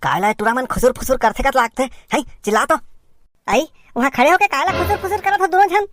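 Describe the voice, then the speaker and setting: A woman's voice: high-pitched, quick pace, dry recording, natural voice. A woman voicing a strict, nagging village parent in a comic cartoon, with a Chhattisgarh accent and an exasperated, questioning delivery. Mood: suspicious, nagging.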